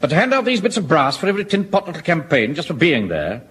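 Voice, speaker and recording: An actor playing a pompous, indignant middle-aged army captain in a radio sitcom. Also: male voice, fairly high pitch, big pitch swings, fast, a bit bright, breathy edge, exaggerated voice. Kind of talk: 1970s radio sitcom acting. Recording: old broadcast with faint hiss.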